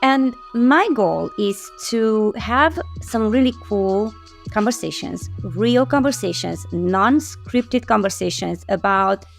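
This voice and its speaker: A woman's voice: mid-range voice, vocal fry, dry recording, studio quality. A woman from Romania hosting a casual interview, bubbly and emphatic, laughing often and building lists of words. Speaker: podcast host.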